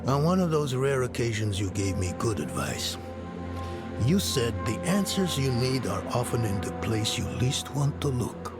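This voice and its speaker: An actor voicing a weary, reluctant middle-aged scholar in a video game, subdued and brooding, with a gravelly voice. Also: male voice, mid-range voice, very animated, normal speed, natural voice. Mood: resigned. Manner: hesitant, subdued. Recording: music behind.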